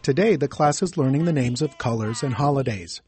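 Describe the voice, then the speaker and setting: A man reporting a news feature in scripted, measured narration. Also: mid-range voice, a little mellow, slight background noise.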